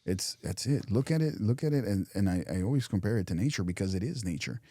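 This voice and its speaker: Man, very deep mellow voice, podcast co-host, reflective motivational chatter. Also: lively intonation, fast, no echo. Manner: reflective, repeats and restarts phrases, softens into quiet imagined thoughts. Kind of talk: casual motivational chat.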